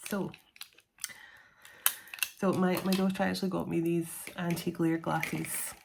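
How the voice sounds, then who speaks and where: A woman's voice: fairly low pitch, level tone, measured pace, gravelly, background noise. A middle-aged woman doing a solo tarot reading to camera, chatty and self-deprecating, laughing often between cards. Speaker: tarot reader.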